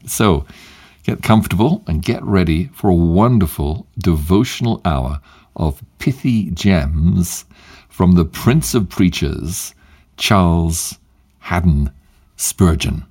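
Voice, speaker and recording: A man hosting a devotional show, reading short quotes in a measured, emphatic way with long pauses. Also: low-pitched, expressive, warm tone, slightly rough. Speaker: podcast host and devotional reader.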